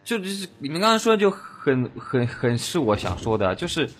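A man's voice: a little high, sing-song, moderate pace, soft background music. A man, a voice actor on a cast panel, chatting casually about an audio drama and repeating his words for emphasis.

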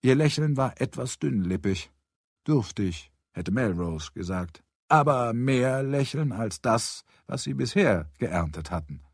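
A male audiobook narrator with speech and a medium pitch. He reads literary prose as scripted narration, in a very dry recording. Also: expressive, measured pace, slightly rough, no echo.

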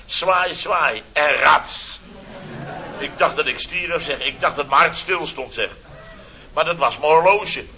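An actor playing a blustering, pompous company boss in a radio comedy, speaking theatrically and indignantly with drawn-out emphasis. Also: male voice, a little high, bright, forceful tone, heavily acted. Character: blustering, pompous male boss of a building firm. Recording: dull old radio recording, faint noise.

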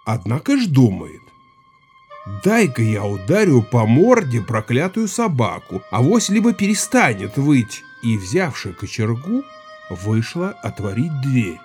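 An actor playing a gruff, gray-haired village Cossack who spins folk tales in a rambling, colloquial, self-satisfied way in an audio drama. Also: male voice, mid-range voice, sing-song, darkish tone, soft background music. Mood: suspenseful, relishing. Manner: rambling, unhurried, colloquial, savoring the tale.

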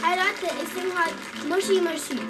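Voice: very high voice, level tone, normal speed, heavy background noise. A performer playing a chirpy young girl in a radio drama, delivering a children's song in repetitive sing-song.

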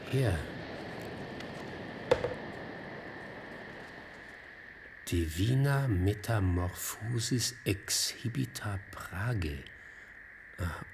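A wry, curious man investigating in a radio play. His voice sits fairly low, with faint noise and ambience behind it. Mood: curious, hushed. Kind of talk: German radio play acting.

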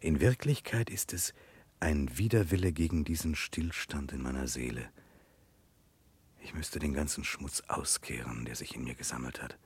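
An actor playing a troubled, guilt-ridden Russian prince in a German radio drama, brooding, earnest and formal. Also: male voice, extremely low pitch, normal speed, slightly rough, heavily acted. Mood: bitter self-reproach.

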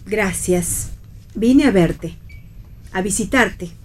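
A woman's voice: medium pitch, drawn-out words, a little mellow. An actress playing a fussy, anxious middle-aged mother in a radio play, with an Argentine accent and a hesitant, solicitous manner. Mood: anxious, tentative. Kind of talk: radio theatre play reading.

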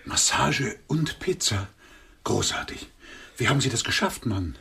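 A man's voice: mid-range voice, very animated, a little slow, gravelly, bright, forceful tone, full character voice. An actor playing a brash, self-important businessman in a radio drama. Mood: impressed, sardonic.

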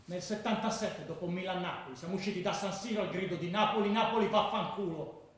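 A man's voice: high voice, fairly quick, natural voice. An actor playing a hardened male ultra and former militant in a radio drama, making short, emphatic declarations. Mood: excited, recounting. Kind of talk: theatrical radio drama monologue. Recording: very echoey room.

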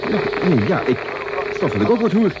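An actor playing an excitable, grumbling spaceship radio operator, colloquial and exclamatory in vintage radio drama style. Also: male voice, sits high, normal speed, muffled recording. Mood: exasperated. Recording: noisy old radio drama recording.

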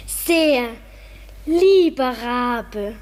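A woman's voice: high-pitched, animated, extremely slow, slight background noise, full character voice. A woman playing a trusting young girl in a radio play, telling her story with dreamy, childlike wonder. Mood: trusting, happy. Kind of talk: German radio play acting, storytelling narration.

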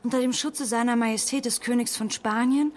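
A woman plays a lady tourist in a radio play, reading aloud from a printed guide. Her fairly high voice is formal and declamatory. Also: fairly even intonation, fairly quick, a little hiss or hum, lightly acted. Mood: proclaiming.

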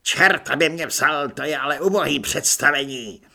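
A man voicing a loud, bossy army corporal barking wake-up orders. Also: very high-pitched, lively intonation, breathy edge, voice pushed up, exaggerated voice. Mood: bellowing. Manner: shouting orders.

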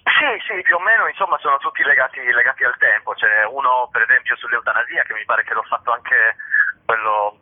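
Brief: male author-actor as interview guest, self-deprecating and jokey, slightly husky voice, narrow telephone sound. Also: mid-range voice, brisk. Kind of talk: casual interview chat. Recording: tinny phone line, muffled detail.

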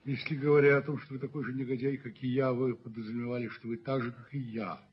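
An actor in an old radio play playing a dignified, self-righteous middle-aged landlord who speaks in measured, rhetorical phrases. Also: male voice, medium pitch, little pitch movement, natural voice. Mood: suave, conciliatory. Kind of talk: 1970s Soviet radio play acting. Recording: muffled old radio recording with noise.

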